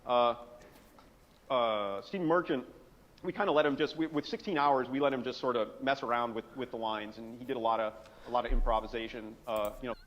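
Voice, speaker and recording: A man's voice: mid-range voice, brisk, fairly bright tone. A man on a conference panel telling anecdotes with lots of fillers, heard in a roomy hall on a dull recording. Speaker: game writer on a conference panel. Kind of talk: panel talk.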